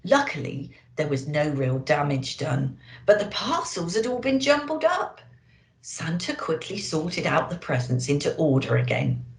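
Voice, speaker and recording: A woman reading a children's storybook aloud in an expressive, theatrical way, addressing young listeners. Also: sits low, unhurried, vocal fry. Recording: echoey, roomy sound.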